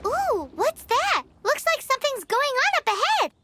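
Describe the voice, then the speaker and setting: A woman voicing a tiny, cheeky, excitable fairy companion in a video game, with a squeaky childlike voice and an exclamatory manner. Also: normal speed, heavy background noise. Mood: surprised, cheeky. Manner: refers to self in third person, exclamatory.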